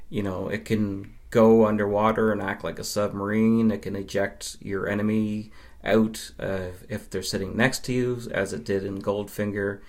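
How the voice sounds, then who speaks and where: A man from Nova Scotia, answering interview questions as a podcast guest in a measured, explanatory way with pauses. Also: fairly low pitch, flat delivery, darkish tone. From Nova Scotia, Canada. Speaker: podcast guest, film fan.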